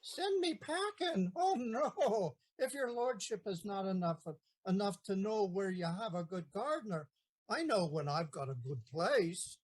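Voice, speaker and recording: A woman's voice: medium pitch, animated, measured pace, darkish tone, husky. A woman acting the part of a stubborn, half-drunk old male gardener in a comic period play. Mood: defiant.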